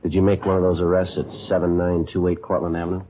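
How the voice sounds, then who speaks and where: A man playing a terse, businesslike police detective in a 1950s radio drama, speaking in a deadpan, clipped way. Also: deep voice, fast, slight background noise, natural voice. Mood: flat, businesslike. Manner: deadpan, clipped, matter-of-fact. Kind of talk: 1950s radio acting, clipped narration and dialogue. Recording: dull, lo-fi old radio recording.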